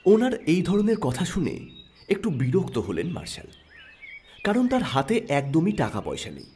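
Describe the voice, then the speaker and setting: A man narrating an audio story in an expressive, dramatic storytelling style. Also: a little high, moderate pace, a little hiss or hum. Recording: narration over background music.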